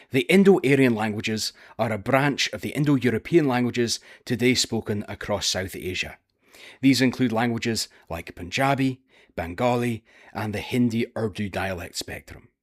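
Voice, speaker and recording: Man, Scottish accent, history narrator, explanatory, mid-pitched. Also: lively intonation, moderate pace. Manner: careful, explanatory, with occasional filler "uh". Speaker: history video presenter. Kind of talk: scripted educational narration.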